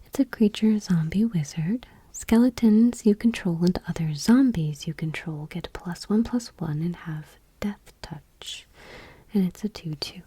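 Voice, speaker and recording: Soft, husky whispering woman, ASMR. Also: fairly low pitch, unhurried, dry recording. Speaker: host of an ASMR channel. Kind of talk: ASMR soft-spoken card reading.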